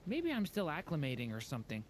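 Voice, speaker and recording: Low-pitched, shy young boy. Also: huge pitch swings, fast talker, a little mellow, a little hiss or hum. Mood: reassuring, unsure. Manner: hesitant, apologetic. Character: Durin, shy gentle young boy, earnest and unsure. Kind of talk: anime video game voice acting.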